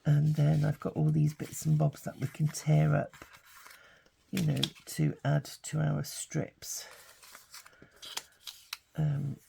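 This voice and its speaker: Older woman, hoarse with hay fever, low unhurried voice, light background hiss. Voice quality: gravelly. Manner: chatty, relaxed, thinking aloud. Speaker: craft channel host. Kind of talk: casual craft tutorial.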